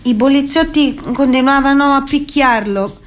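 A high-voiced woman gives testimony in a radio play, acted by a stage actress. She speaks in halting, simple phrases, troubled by what she saw from her balcony. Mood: distressed testimony. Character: frightened immigrant woman eyewitness testifying, plain and halting.

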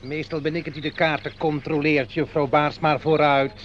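A man's voice: on the high side, flat delivery, moderate pace, lightly acted. A man playing a stiff, pedantic, timid ticket inspector in a Dutch radio play, hesitant and formal with a slight stammer. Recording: dull recording, noisy outdoors with birds.

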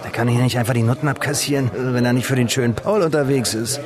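An actor playing a wary, streetwise underworld informant in a radio drama, terse and slangy. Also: male voice, mid-range voice, steady tone, quick pace, over music, close to an everyday voice. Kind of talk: German radio crime drama acting.

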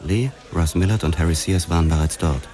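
A man's voice: low voice, a little fast, warm tone, lightly acted. An actor playing a world-weary police detective who narrates his story in a hardboiled crime radio drama. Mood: dry, sardonic.

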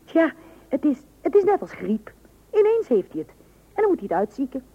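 An actress playing a dryly ironic, patient but firm housewife and mother in a radio play, with deadpan humour. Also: high-pitched, moderate pace. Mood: wry narration. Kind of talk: radio play acting with narration to the listener. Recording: muffled narrow-band old radio recording.

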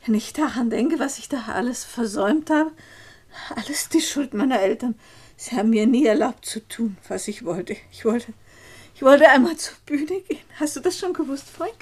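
An actress playing a young, frustrated and slightly tipsy niece in a German radio play, pointed and plaintive, in standard German. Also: female voice, a little high, lively intonation, moderate pace, breathy edge. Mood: tipsy, defiant. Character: young niece, tipsy, frustrated, defiant toward her aunt.

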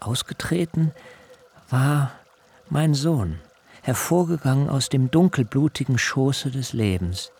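A man acting an anguished servant who recounts events solemnly and deliberately in a literary radio drama. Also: mid-range voice, animated, darkish tone, husky, heavily acted. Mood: solemn, shaken. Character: anguished male servant recounting a birth in an ancient household.

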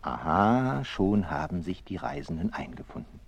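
A man narrating a scripted children's story in a measured, expressive way. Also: low-pitched, vocal fry, breathy edge, muffled recording.

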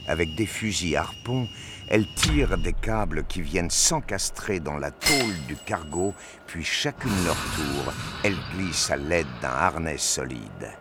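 A man's voice: low voice, noisy background. A man reading scripted narration for a science fiction audio drama.

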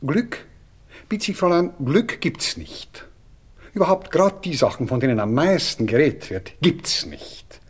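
An actor playing an affected, melancholic aristocratic cavalry officer in a radio play, with a Viennese accent and a polite, hesitant, rambling way of talking. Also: male voice, a little high, expressive, unhurried, husky. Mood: blasé, philosophizing. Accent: Viennese Austrian.

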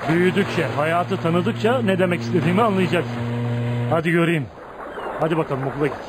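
A noisy radio drama recording of a man playing a young dockworker with a slightly high voice. He is blunt and streetwise, swaggering and lecturing like a tough guy, with a kind streak underneath. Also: a little fast, natural voice. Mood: gruff, protesting. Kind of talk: Turkish radio theatre acting.

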